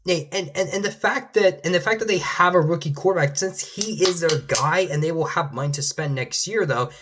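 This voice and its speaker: A man's voice: on the high side, a bit bright, slightly rough. Young male sports fan, casual predictions, rambling delivery with restarts, voice fading in and out. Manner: rambling, repeats "though" at line ends, many restarts. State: losing his voice at times. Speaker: sports commentator.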